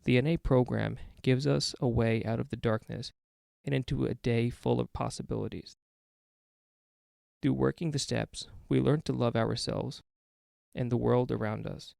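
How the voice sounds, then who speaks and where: Male reader for daily recovery meditations, heavy vocal fry, mid-pitched, close dry sound. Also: steady tone, moderate pace, darkish tone, no echo. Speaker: guest reader of a recovery podcast. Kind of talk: read-aloud daily meditation.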